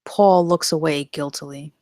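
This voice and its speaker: A woman's voice: low-pitched, fairly even intonation, normal speed, warm tone, no echo, studio quality. A woman reading stage directions as narrator in a live scripted table read.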